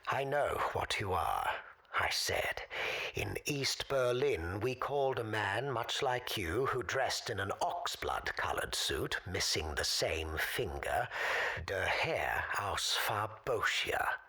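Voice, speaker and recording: A woman playing an elderly, weary, wily former spy in an audio drama, with a hoarse, half-whispered rasp and formal, deliberate storytelling. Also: very deep voice, very animated, sharp tone, exaggerated voice, low-quality recording. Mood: defiant, grave. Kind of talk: dramatic monologue in audio fiction.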